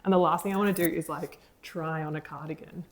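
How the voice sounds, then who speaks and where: Give me a young woman with a low voice, chatting and rambling eagerly. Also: steady tone, fast. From Australia. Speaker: podcast co-host. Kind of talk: casual chat.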